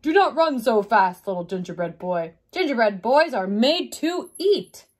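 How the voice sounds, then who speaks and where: A woman's voice: mid-range voice, big pitch swings, unhurried, a little mellow. A woman voicing hungry farm workers calling out in a storybook. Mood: coaxing.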